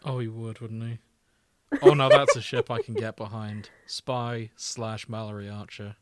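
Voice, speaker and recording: A man's voice: on the low side, sing-song, unhurried. A man co-hosting a podcast in casual chat, with a wry, self-deprecating manner. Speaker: podcast co-host.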